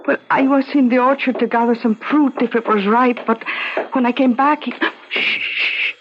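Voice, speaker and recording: An actress playing an anguished, deferential Mexican wife in a radio drama, with a Mexican Spanish accent and hesitant, formal phrasing. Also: female voice, fairly high pitch, fairly even intonation, normal speed, natural voice. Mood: polite, nervous. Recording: dull radio recording with faint noise.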